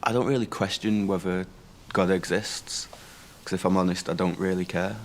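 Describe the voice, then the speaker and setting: A man's voice: low-pitched, fairly even intonation, moderate pace. A man in his twenties, a university student, talking frankly and offhandedly about his values. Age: 20s. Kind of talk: casual vox pop.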